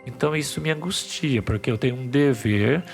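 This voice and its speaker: Brief: male academic guest, gravelly texture, mid pitch, patient explainer, faint music under. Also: a little fast. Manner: patient, explanatory, many restarts. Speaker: psychiatry professor guest. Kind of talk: podcast interview.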